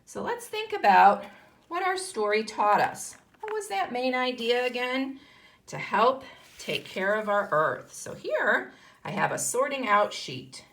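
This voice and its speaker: A woman's voice: mid-range voice, fairly bright tone. A woman with an American accent teaching young children, instructive, posing questions and answering them herself. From United States. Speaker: kindergarten teacher. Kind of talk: read-aloud lesson for young children.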